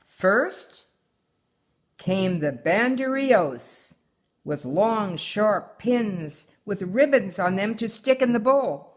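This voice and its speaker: An older woman, a mother reading a children's story aloud in a measured, deliberate way. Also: medium pitch, lively intonation.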